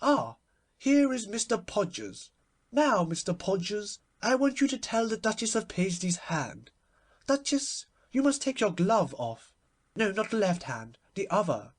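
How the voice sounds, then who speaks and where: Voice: medium pitch, sing-song, a little slow, pitched above the performer's own voice. A performer voicing a gushing, witty, imperious society hostess in a theatrical, emphatic manner for a storybook reading. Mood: gushing, lively.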